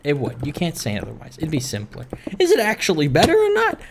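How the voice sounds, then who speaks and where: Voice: medium pitch, wildly swooping pitch, fast, noisy background. A gaming video host giving casual, rambling commentary in a self-deprecating, ranting way that wanders from one thought to the next.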